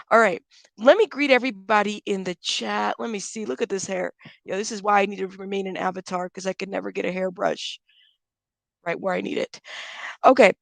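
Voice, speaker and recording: A woman hosting a casual livestream, chatting with viewers and giving friendly shout-outs while still congested. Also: medium pitch, steady tone, moderate pace, gravelly, pauses often, dry recording. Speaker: livestream host.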